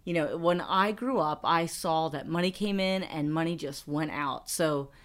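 Middle-aged woman cohosting a podcast, chatty, laughs a lot, lowish voice. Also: normal speed. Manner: chatty, laughs often, many restarts. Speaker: podcast co-host. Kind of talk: casual chat.

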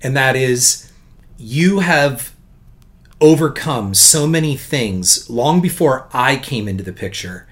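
A man in a casual podcast chat with a middle-range voice. He speaks in an earnest, deliberate way and gently pokes fun at himself. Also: medium pitch, animated. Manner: earnest, self-deprecating, slow and deliberate. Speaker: podcast co-host.

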